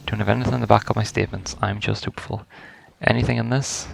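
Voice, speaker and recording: A man's voice: on the low side, steady tone, fast, creaky, low-quality recording. A man giving casual first-reaction commentary on a video game, rambling and thinking aloud as he goes. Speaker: gaming video host.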